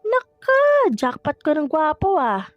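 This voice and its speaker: Audio drama sidekick: brash, boy-crazy young woman acted by a woman, exclamatory and high, recorded dry. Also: big pitch swings, slow pace, dry recording, highs cut off, soft background music, exaggerated voice. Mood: shocked, excited.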